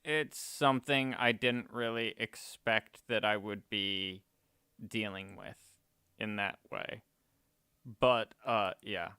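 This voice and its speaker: Slow, bright-voiced man chatting. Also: fairly low pitch, fairly even intonation, smooth, no echo, studio quality. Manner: rambling, self-correcting, chuckling. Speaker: streamer. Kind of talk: casual chat while gaming.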